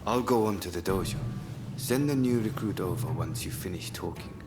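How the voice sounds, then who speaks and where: A man playing a stern, reserved samurai swordsman in a video game, speaking in a measured, curt and formal way. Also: deep voice, animated. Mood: businesslike. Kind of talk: dramatic video game acting. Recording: loud music behind the voice. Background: loud game soundtrack music.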